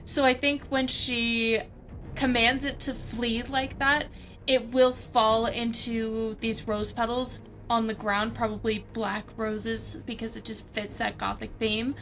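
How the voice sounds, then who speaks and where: A woman's voice: fairly high pitch, little pitch movement, takes it slowly, fairly bright tone. A woman playing a tabletop roleplaying game, thinking aloud and casually narrating what her characters do.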